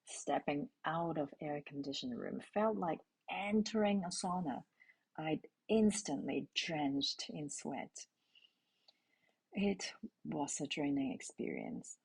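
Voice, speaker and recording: A middle-aged woman from Hong Kong, a native Cantonese speaker, telling a personal parenting story in a calm, intimate way and asking her listeners questions. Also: low voice, slow pace, vocal fry, breathy edge, low-quality recording. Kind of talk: reflective spoken essay.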